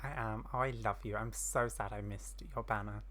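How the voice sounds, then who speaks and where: A woman's voice: rumbling low, steady tone, moderate pace. A woman doing casual, rambling let's-play commentary as host of a gaming video. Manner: chatty, rambling.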